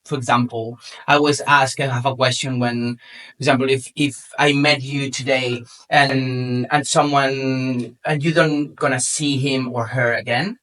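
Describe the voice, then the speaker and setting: A man speaks slowly and thoughtfully in halting English at a workshop. His voice is bright and crisp. He is caught by a mic some distance away in an indoor room. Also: mid-range voice, level tone. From Spain. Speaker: workshop participant. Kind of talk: self-introduction at a workshop.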